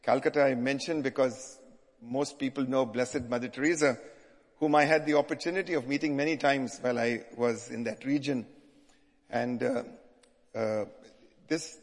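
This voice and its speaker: An older man from India with an Indian accent, a visiting bishop addressing a congregation, often pausing and restarting his sentences. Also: mid-range voice, in a large hall. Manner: hesitant, frequent restarts. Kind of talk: sermon-style address.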